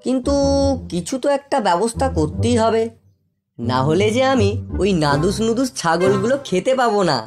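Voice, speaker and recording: A woman voicing a sly, greedy, quick-witted fox in an animated children's folktale, with a wheedling storybook delivery. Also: medium pitch, music in the background, close to an everyday voice. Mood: greedy, scheming.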